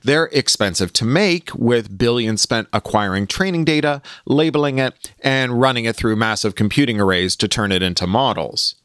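A man hosting a podcast, reading a scripted opinion column aloud in an articulate, measured and emphatic way. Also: medium pitch, fairly bright tone, clean tone, dry recording, studio quality. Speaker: podcast host reading own magazine column.

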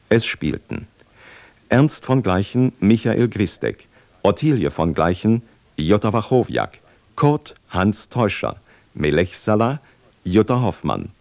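A man reading scripted radio play credits as an announcer, formal and measured. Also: low voice, gravelly, a little mellow, no room sound.

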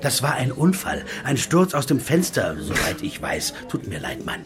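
A man's voice: medium pitch, very animated, moderate pace, fairly bright tone, faint music behind. An actor playing a weary male American jazz pianist and former private eye, who narrates in a guarded, dry way. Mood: refusing. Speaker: narrator and main character. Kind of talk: radio play acting.

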